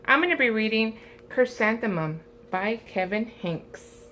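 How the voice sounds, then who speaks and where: A woman reading a picture book aloud to young children, with deliberate, expressive phrasing. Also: a little high, slight background noise. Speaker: storybook reader for a first grade class.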